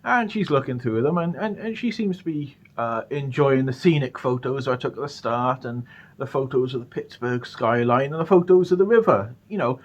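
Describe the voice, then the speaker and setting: A British man with a medium-pitched voice tells a personal story in a casual, conversational way. His delivery is halting, with stammers and repeated words. The recording sounds slightly dull and lo-fi. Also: lively intonation. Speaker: storyteller, channel host.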